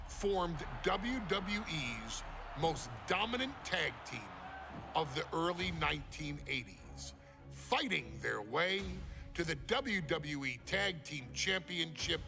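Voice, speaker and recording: A man delivering dramatic scripted narration in a grandiose, reverent manner with emphatic pauses, as the presenter of a showcase. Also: a little high, vocal fry. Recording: music under the voice. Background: music.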